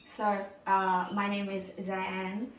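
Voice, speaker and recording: A young woman from Vietnam, speaking in an interview in a steady, reflective way. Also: mid-range voice, slow pace, reverberant, distant mic, a little hiss or hum. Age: young adult. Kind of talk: casual personal interview.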